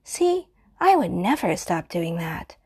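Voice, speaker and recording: Woman doing a creepy obsessive stalker, husky hushed whispers, menacing and intimate. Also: fairly low pitch, very animated, slow pace, heavily acted. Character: obsessive, possessive murderous stalker lover. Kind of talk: audio roleplay monologue.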